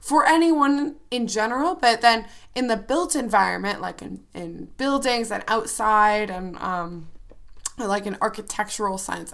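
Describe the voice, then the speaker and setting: A woman in her twenties co-hosting a podcast, chatty and bubbly, with a hoarse, scratchy voice. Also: mid-range voice, a bit bright. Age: 20s. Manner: bubbly, chatty, laughs often. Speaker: podcast co-host. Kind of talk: casual chat.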